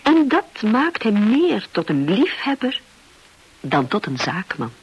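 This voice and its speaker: A woman's voice: medium pitch, wildly swooping pitch, slightly rough, heavily acted, rough recording. An actress playing a candid, self-possessed English woman art dealer, narrating confidingly in a radio play. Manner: confiding, deliberate.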